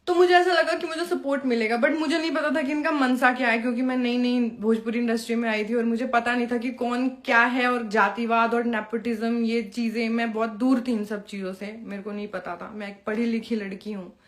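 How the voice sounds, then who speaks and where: A woman's voice: fairly high pitch, steady tone, a little fast, hardly any pauses. A young woman speaks informally to a live-stream audience. She is pointed and taunting, calling people out directly. English phrases slip into her talk. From Bihar, India. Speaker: Bhojpuri performer addressing followers on a live stream. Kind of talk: informal live-stream monologue, personal call-out.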